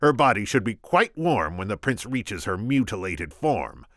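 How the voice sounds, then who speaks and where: A man voicing a conceited, scheming male kidnapper from Sicily, in a shrill, mocking, smug voice, read aloud from a storybook. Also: sing-song, normal speed, fairly bright tone, dry recording, studio quality.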